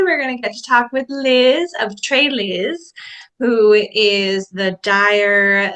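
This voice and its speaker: Cheery woman host on a video call, bright voice, says like a lot. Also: fairly high pitch. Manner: upbeat, frequent "like". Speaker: podcast host. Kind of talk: casual video interview.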